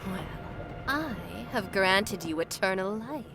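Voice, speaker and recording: A woman playing a seductive, taunting female vampire in a horror audio drama, with drawn-out theatrical delivery over loud music. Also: medium pitch, animated. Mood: silky menace.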